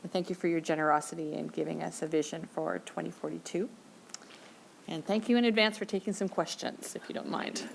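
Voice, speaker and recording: A woman with a somewhat low voice moderating a lecture, gracious and measured. A faint hiss sits behind her voice. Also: steady tone, gravelly, rough recording. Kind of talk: thanking speaker and opening questions.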